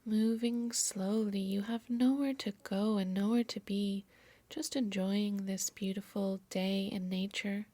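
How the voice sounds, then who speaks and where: A woman yoga nidra instructor softly narrating a guided meditation in a soothing, measured, breathy voice. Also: medium pitch, steady tone, no room sound. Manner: soft, soothing, measured delivery.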